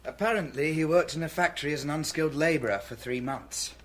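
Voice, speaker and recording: A man's voice: a little high, fast talker, close to an everyday voice. An actor playing an eager, dutiful junior detective reporting to his superior in a radio drama. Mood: businesslike.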